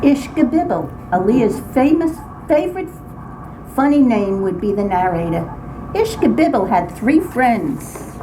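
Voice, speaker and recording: An older woman reads her own humorous personal story aloud in an expressive, dramatic way, with emphatic phrasing. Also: fairly high pitch, a little slow. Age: older, grandmother. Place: indoors, writing group meeting room. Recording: very noisy small-group room recording. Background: clear background noise and room ambience.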